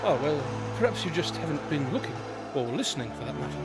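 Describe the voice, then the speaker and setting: Man playing a high, quick, wry divine guide. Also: big pitch swings. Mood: patient. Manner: polite, teasing, lofty. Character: wry, teasing divine guide shepherding time travelers. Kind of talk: audio drama acting. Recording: dramatic music underneath.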